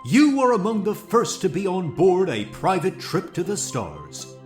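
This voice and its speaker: A man's voice: on the high side, echoey room. An actor playing a showy male mission control announcer in an audio drama. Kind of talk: theatrical scripted audio drama.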